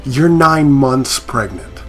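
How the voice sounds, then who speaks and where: A man's voice: mid-range voice, animated, takes it slowly, a bit bright, faint music behind. A man acting in a sci-fi audio drama as an anxious, protective husband and pilot, hesitant and earnest. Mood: worried.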